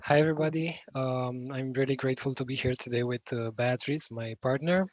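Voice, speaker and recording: A man's voice: mid-range voice, fairly even intonation, brisk, a little hiss or hum. Hesitant man from Romania on a call. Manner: hesitant, with many fillers. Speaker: workshop participant. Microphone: video call. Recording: dull lo-fi call audio.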